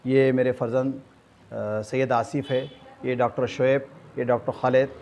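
A man's voice: medium pitch, level tone, moderate pace, a little mellow, slight background noise. An older man with a Hyderabadi accent, a community organiser making a formal address to camera with repeated filler phrases. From Hyderabad, India. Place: small clinic room, indoors.

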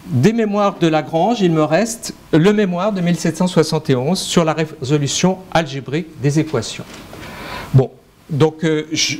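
A man's voice: fairly high pitch, a little mellow, slight background noise. Hesitant French man lecturing at a conference. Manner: hesitant, many fillers and restarts. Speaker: mathematics lecturer. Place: lecture hall with projector screen. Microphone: handheld microphone.

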